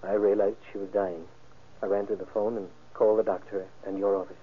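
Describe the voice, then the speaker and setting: An actor playing a shaken, wounded husband in an old-time radio crime drama, speaking haltingly and earnestly. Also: male voice, lowish voice, flat delivery, husky. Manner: halting, earnest witness account. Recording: muffled, band-limited vintage radio recording.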